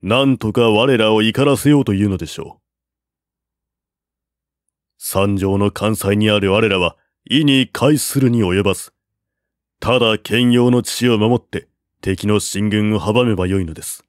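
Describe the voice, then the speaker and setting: A man voicing a calm, shrewd male strategist who counsels in a measured, persuading way, in a storybook reading. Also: lowish voice, dry recording, studio quality, close to an everyday voice.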